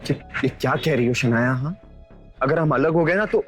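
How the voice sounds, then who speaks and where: A man's voice: mid-range voice, normal speed, lightly acted. Meek young husband played by a man, music behind. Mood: anxious, pleading. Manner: hesitant, stammering. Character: meek, put-upon young husband, anxious and pleading. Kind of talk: Hindi audio drama acting.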